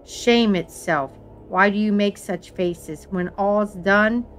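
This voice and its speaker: A woman playing a commanding, scornful queen in a Shakespearean dramatic reading, in deliberate, declamatory verse. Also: medium pitch, soft background music, lightly acted. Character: commanding, scornful queen covering for her husband. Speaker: amateur actor in a library's dramatic reading.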